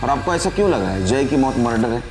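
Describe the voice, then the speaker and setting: Composed, mid-pitched policeman, acted. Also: big pitch swings, close to an everyday voice. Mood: probing. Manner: measured, formal, probing. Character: composed, methodical police officer questioning a complainant. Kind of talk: Hindi TV crime serial acting. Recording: loud background music.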